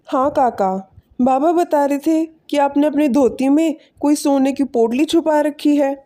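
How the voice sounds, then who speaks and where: A woman voicing a humble, selfless poor village man in an animated moral story, speaking politely and earnestly. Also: high voice, unhurried. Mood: earnest, explaining.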